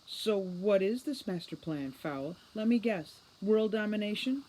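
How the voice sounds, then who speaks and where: A man's voice: high-pitched, expressive, a little slow, a little mellow, voice pushed up, poor audio. A man voicing a furious captive female fairy captain in an audiobook, defiant and indignant, spitting out words with contempt. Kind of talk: audiobook character reading.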